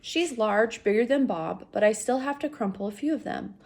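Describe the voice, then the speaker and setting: A woman's voice: on the high side, normal speed, darkish tone. A woman reading a children's novel aloud expressively, as a teacher does for students, speaking directly to young listeners.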